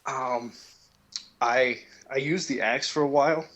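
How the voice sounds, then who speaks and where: A man on an internet call, casually explaining his views on video games in a low-key, hesitant way. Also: steady tone, unhurried, a bit bright, lo-fi sound, slight background noise. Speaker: caller to a gaming stream.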